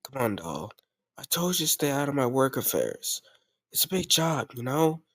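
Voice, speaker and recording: A man's voice: medium pitch, sing-song, normal speed, fairly bright tone, dry recording. A man playing a doting but ruthless mafia boss in an intimate audio roleplay, commanding yet affectionate, using gangster slang. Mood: gently scolding.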